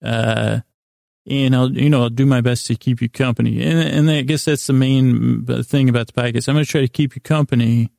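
Gravelly man rambling soothingly, very creaky. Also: medium pitch, fairly even intonation, darkish tone, no echo, clean studio sound. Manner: meandering, halting, stumbling; soothing, lulling, mildly silly. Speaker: podcast host. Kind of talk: rambling bedtime monologue.

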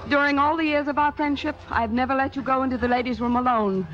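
A woman's voice: sits high, fairly even intonation, fast, some chatter behind, close to an everyday voice. A woman playing a witty, fierce, mature stage star, theatrical and sardonic, in a vintage radio drama. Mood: playful, wry. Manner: emphatic, sardonic. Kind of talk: 1950s radio drama acting. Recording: dull vintage radio recording.

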